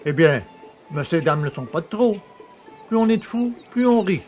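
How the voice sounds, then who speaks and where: An actor playing a cheeky, boastful poacher fresh from prison in an audio drama, familiar, mocking and self-pitying. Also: male voice, a little high, expressive, normal speed, darkish tone, soft background music, natural voice. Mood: jovial. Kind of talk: French audio drama acting.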